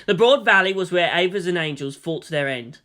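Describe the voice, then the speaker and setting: A woman's voice: on the low side, expressive, a little fast, a bit bright. A woman reading fan fiction aloud as a dramatic, scripted story narration.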